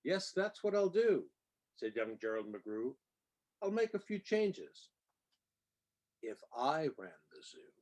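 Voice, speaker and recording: A man's voice: fairly high pitch, very animated, takes it slowly, long pauses, a little mellow. A man reads a rhyming children's picture book aloud with playful, theatrical emphasis on the nonsense words. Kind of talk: expressive read-aloud of rhyming storybook verse.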